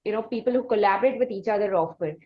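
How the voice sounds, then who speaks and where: A woman with an Indian accent hosting an interview, asking long questions in a halting, conversational way. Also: medium pitch. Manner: halting, long-winded questions full of pauses. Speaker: podcast host, interviewer. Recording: dull, lo-fi audio.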